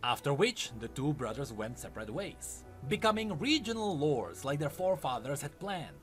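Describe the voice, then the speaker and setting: A man's voice: a little high, sing-song, moderate pace, a bit bright, faint music behind. A man delivering scripted lore narration in an explanatory, storytelling way. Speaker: video essay narrator.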